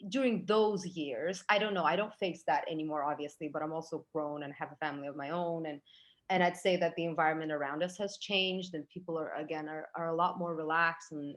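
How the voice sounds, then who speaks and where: Low-voiced woman, halting podcast talk. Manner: halting, many restarts and fillers. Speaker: podcast guest. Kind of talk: casual podcast discussion.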